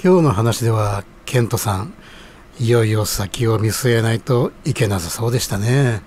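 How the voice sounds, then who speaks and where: A man's voice: on the low side, normal speed, darkish tone, close to an everyday voice. An actor playing a polite, genial middle-aged ramen stall owner and father in an audio drama, speaking humbly and courteously. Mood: polite, admiring. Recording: audible background noise.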